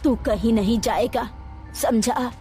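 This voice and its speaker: Older village mother voiced by a woman, anxious, slow and high, for a storybook horror tale. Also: dark tone. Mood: worried. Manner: slow, worried pleading. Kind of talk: storybook voice acting. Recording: faint music underneath.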